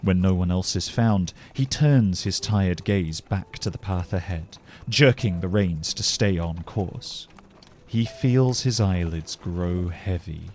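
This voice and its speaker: A man narrating a scripted fantasy story as a measured, descriptive storyteller. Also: low-pitched, darkish tone, slight background noise.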